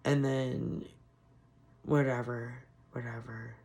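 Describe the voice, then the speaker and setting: A woman giving casual, frustrated political commentary on her vlog, hoarse from losing her voice. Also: a bass voice, flat delivery, slow pace, warm tone. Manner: frustrated, ranting. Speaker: commentary vlogger.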